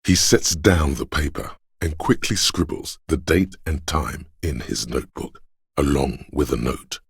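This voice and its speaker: A man narrating a game script in a measured, grave, literary manner, with a very deep, gravelly, husky voice. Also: animated, no room sound, studio quality.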